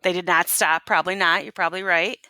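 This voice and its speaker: A woman teacher reading a storybook aloud to children and pausing to ask them questions. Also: lowish voice, fairly even intonation, bright, forceful tone, dry recording.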